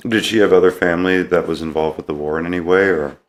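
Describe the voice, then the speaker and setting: Casting: male podcast host, notably deep voice, casual and supportive interviewing style, recorded with audible room echo. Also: steady tone, fast. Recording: roomy, echoing sound.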